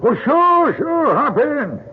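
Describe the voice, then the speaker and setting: An actor playing an easygoing, folksy logging camp driver in an old-time radio drama. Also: male voice, extremely high pitch, big pitch swings, takes it slowly, full character voice. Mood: friendly. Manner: folksy rustic speech. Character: easygoing, chatty male camp payroll driver. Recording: tinny, very noisy old radio recording.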